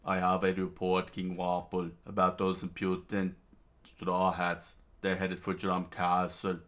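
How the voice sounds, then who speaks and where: A man plays a storybook henchman reporting to his king. The voice is low and male, with a formal, deferential manner. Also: completely flat delivery, unhurried, fairly bright tone.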